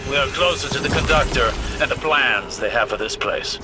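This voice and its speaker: A man's voice: mid-range voice, natural voice. A man as a formal, guarded ally, noisy background. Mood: calm, guarded. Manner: formal, deliberate, stately wording. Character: calm, formal, guarded male ally on a mission.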